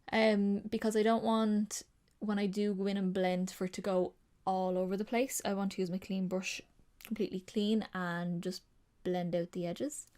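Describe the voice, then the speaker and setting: Woman, beauty vlogger, casual and chatty, a touch nasal, mid-pitched. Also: dark tone. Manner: chatty, rambling, with frequent ums and likes. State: slightly nasal from a sinus infection. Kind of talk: casual makeup tutorial chat.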